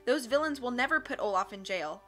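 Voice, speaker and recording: A resourceful teenage girl warns and insists in a firm, urgent tone, as a woman reads her lines. The voice is bright and slightly high, over faint music. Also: lively intonation, brisk. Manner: firm, urgent whispering and warnings.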